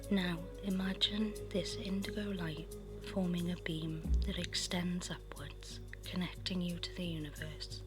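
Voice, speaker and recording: A woman guiding a meditation in a soft, breathy, soothing half-whisper, reading as scripted narration. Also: lowish voice, steady tone, measured pace. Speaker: meditation guide. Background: meditation music.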